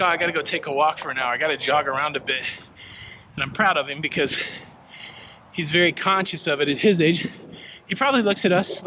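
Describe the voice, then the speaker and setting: A middle-aged man talks through a walk in a park, loose and chatty, throwing questions at his viewers and poking fun at himself. His voice sits a little high for a man. The body camera picks up a steady wash of wind and outdoor noise behind him. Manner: chatty, self-deprecating, asks viewers questions. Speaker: walking vlog host. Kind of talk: casual walking vlog.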